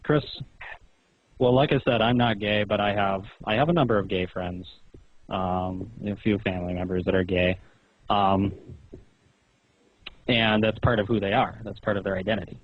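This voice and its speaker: A man's voice: lowish voice, steady tone, moderate pace, pauses often. A man on a phone call, questioning and explaining in an insistent, lecturing manner. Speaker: phone caller. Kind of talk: pointed questioning in a phone conversation. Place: recorded telephone call. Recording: muffled, roomy phone call recording.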